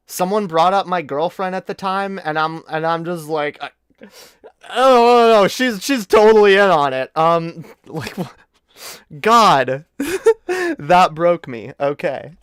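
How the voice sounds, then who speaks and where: Stream host, male, from Canada, keeps dissolving into laughter; smooth clear tone, a touch higher than most men. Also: lively intonation, takes it slowly, a bit bright, no echo, clean studio sound. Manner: giggly, bursts into high-pitched laughter. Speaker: streamer reacting to old clips. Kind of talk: casual drunk reaction stream.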